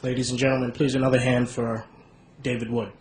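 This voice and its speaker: A man's voice: medium pitch, little pitch movement, fairly quick. Man announcing from afar in echoey hall. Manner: formal, announcing. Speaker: debate moderator. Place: live debate hall. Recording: echoey hall, off-mic, background noise.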